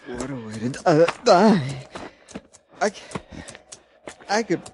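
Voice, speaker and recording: An actor playing a wounded, defiant old-west sidekick who groans and speaks weakly in a 1950s radio drama. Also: male voice, a little high, very animated, takes it slowly, noisy background. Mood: weak, pained.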